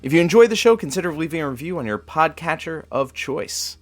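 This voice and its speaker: Actor as male radio sidekick, animated intonation, affable, casual, teasing, faint music behind. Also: on the high side, brisk, no room sound, lightly acted. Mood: announcing. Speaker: radio show sidekick and announcer. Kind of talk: audio drama banter and credits.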